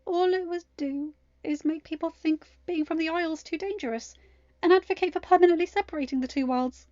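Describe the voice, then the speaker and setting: A woman voicing a meek, gentle teenage girl, speaking softly and hesitantly in an audio story. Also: very high voice, a little fast, dry recording, lo-fi sound, voice pushed up. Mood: pleading. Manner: soft, hesitant, pleading.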